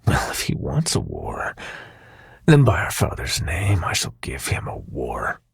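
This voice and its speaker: A man playing a seductive, menacing prince of nightmares in an intimate ASMR roleplay, speaking in a breathy, silky, commanding half-whisper. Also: very deep voice, wildly swooping pitch, no echo, studio quality, full character voice. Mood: seething anger. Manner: slow, silky, commanding, taunting.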